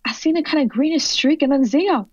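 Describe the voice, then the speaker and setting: A woman's voice: sits high, lively intonation, fast talker, no room sound. A woman playing a rattled, talkative rural farm owner with a folksy, rambling manner in a radio play. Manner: folksy rustic drawl, rambling. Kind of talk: radio drama acting. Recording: dull, dry lo-fi audio.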